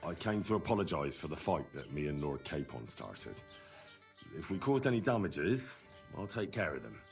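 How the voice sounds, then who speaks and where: An actor playing a young medieval blacksmith's helper in a video game, cheeky and loyal, with a dry, plain-spoken manner. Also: male voice, rumbling low, sing-song, normal speed, creaky, soft background music, exaggerated voice. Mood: apologetic. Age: young adult. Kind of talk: video game dialogue acting.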